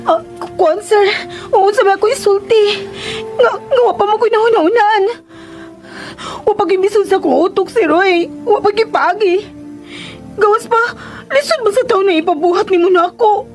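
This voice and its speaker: A woman's voice: extremely high pitch, moderate pace, soft background music. A woman playing a chatty, deferential young maid with a Filipino accent in a radio drama, hesitant and whiny as she pleads. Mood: whiny, pleading.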